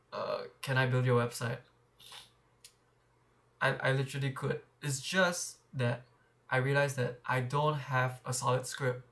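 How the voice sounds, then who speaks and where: A man's voice: medium pitch, fairly bright tone. A young man talking candidly in a casual vlog, reflecting with frequent restarts and repeated words, recorded on a clip-on shirt mic. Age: young adult. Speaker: vlogger.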